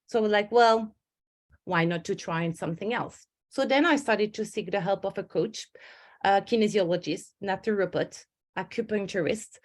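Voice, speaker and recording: An earnest woman leads an online stress-management webinar, sharing her story in a personal way. Her voice sits in an ordinary middle pitch, and she often stops to rephrase mid-sentence. She is heard through a home computer mic on a video call. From Switzerland. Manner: earnest and personal, with frequent self-corrections.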